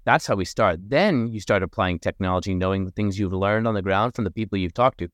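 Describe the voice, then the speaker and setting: Guy from morocco making his case on a podcast, deep-ish voice, dry. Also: brisk, no echo. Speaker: guest. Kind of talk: podcast conversation.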